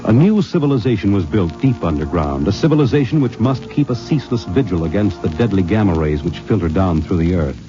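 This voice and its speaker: A man announcing a 1950s radio drama with scripted, portentous, deliberate narration. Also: low voice, animated. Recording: dull old radio broadcast recording. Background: music.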